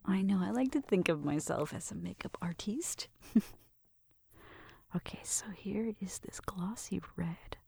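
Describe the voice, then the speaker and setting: Breathy, whispery flirty roommate played by a woman. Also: mid-range voice, animated, measured pace, dry recording, exaggerated voice. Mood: gentle, focused. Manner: soft whispering mixed with murmured talk, shy and teasing. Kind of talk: ASMR roleplay, intimate one-sided conversation.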